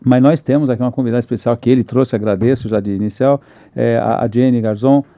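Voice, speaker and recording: A man's voice: mid-range voice, steady tone, fast talker, dry recording, lo-fi sound. A man hosting a podcast, introducing his guests with enthusiastic, rambling talk full of restarts. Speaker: podcast host.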